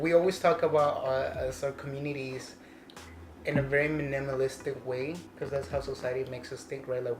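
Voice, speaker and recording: Passionate man from Mexico in echoey room. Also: medium pitch. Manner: passionate, emphatic, says "right?" and "girl". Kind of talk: casual conversation. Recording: echoey room with music behind.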